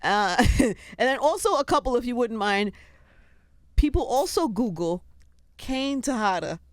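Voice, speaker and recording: A woman's voice: a little high, expressive, measured pace, dry recording, studio quality. A woman hosting a casual interview, asking teasing, playful questions. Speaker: host.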